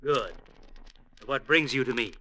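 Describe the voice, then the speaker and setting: An actor playing a sly, grandly pious Indian village mayor in a classic radio drama, speaking in a measured, formal, archly knowing way. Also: male voice, medium pitch, lively intonation, pushed and bright. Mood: brisk, officious. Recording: noisy old radio recording.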